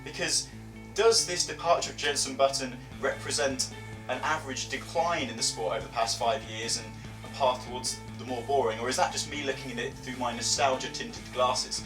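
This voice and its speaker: A British man in his early twenties talks to his viewers like a vlogger, wry and mock-dramatic with self-deprecating humour. His mid-pitched voice sounds roomy and set back from the mic, with music playing behind. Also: steady tone, a little fast, far from the mic. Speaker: vlogger and radio presenter. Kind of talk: chatty, scripted-feeling opinion vlog.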